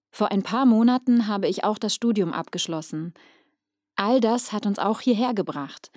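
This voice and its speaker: Mellow-voiced woman, dry scripted narration. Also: mid-range voice, no room sound. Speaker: voice-over narrator. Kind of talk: scripted first-person testimonial narration.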